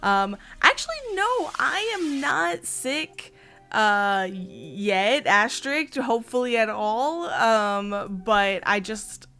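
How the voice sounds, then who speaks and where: Hoarse, giggly woman streamer. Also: a little high, lively intonation, slow, sharp tone, soft background music. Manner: giggly, chatty, talks to chat. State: hoarse, partly lost voice. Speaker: streamer host. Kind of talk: casual livestream chat.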